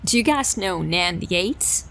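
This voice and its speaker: A woman's voice: on the low side, expressive, slow pace, vocal fry, a bit bright, heavily acted. A woman playing a polite, determined young detective in a sci-fi audio drama. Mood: eager, probing.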